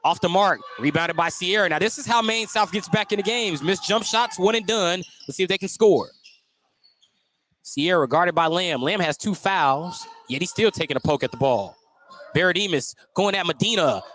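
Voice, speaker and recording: An American man works as a basketball play-by-play announcer on radio. His voice sits a little high for a man. He describes the action in short, clipped running phrases. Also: animated, normal speed, fairly bright tone, slight background noise. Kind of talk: live radio basketball commentary.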